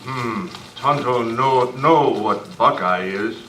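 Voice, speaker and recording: Guy doing earnest western sidekick, slow broken english, sharp voice, echoey club room. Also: mid-range voice. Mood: matter-of-fact. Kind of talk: amateur old-time radio western acting. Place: club meeting room, far camera. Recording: roomy, distant camera sound with hiss.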